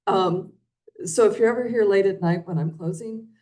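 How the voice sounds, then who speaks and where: A woman librarian giving a chatty informal talk, with a big booming voice. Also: normal speed, darkish tone. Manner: chatty, says she has a booming voice.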